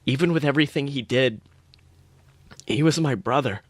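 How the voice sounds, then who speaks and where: A man's voice: medium pitch, moderate pace, dry recording, lightly acted. A man voicing a grieving, bewildered young man with a pleading, childlike manner in a story read aloud. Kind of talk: fan fiction read aloud.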